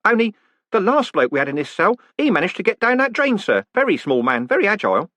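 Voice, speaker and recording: A man's voice: sits high, big pitch swings, fast talker, sharp tone, no room sound, pitched above the performer's own voice, heavily acted. A man voicing a cheerful, deferential prison warden who rambles on and calls everyone sir. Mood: chatty.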